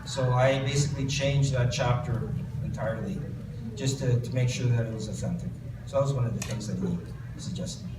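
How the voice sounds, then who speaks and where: A man's voice: mid-range voice, moderate pace. A Canadian man, an author, reading from his book and then answering audience questions at a bookstore event, with many fillers and restarts. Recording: roomy distant recording with background noise.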